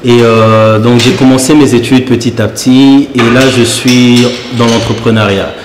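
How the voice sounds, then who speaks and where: A young man in his twenties from Congo talks plainly about his business. His voice is calm and even. An echoing room and faint noise surround him. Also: medium pitch, normal speed. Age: 20s. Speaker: young entrepreneur guest. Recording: echoey room.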